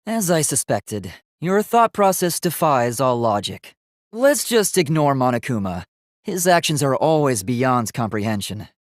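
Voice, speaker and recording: A man's voice: mid-range voice, big pitch swings, unhurried, dry recording, clean studio sound. A man voicing a polite, earnest, logical young male robot in a video game, speaking formally and precisely. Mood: certain, reasoning. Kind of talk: English video game voice acting.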